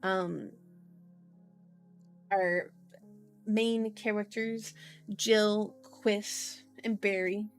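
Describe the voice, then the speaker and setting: A woman's voice: mid-range voice, steady tone, measured pace, faint music behind. A woman hosting an ASMR book reading with casual, playful asides. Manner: playful asides, repeats words for fun. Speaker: ASMR host reading a novel aloud.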